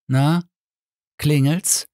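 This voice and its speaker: A man's voice: a little high, fairly even intonation, drawn-out words, darkish tone, no echo, studio quality, pitched above the performer's own voice. A man voicing a sadistic, vengeful killer who speaks with cold scorn and mockery in an audiobook thriller. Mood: mocking, menacing. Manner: scornful, taunting. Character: sadistic, vengeful male killer, coldly mocking.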